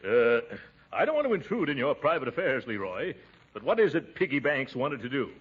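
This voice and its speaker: Blustery pompous uncle, swooping voice. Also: male voice, medium pitch, very animated, brisk, slightly rough. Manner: pompous, mock-formal, chuckling. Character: blustery, pompous middle-aged uncle, teasing and exasperated. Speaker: lead of comedy show. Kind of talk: 1940s radio comedy acting. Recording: dull old radio recording, loud audience.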